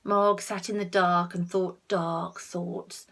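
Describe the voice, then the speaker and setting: A woman reading a picture book aloud to children, expressive, with asides to the listeners and hushed tones for effect. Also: lowish voice, takes it slowly. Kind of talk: animated read-aloud with asides to young listeners.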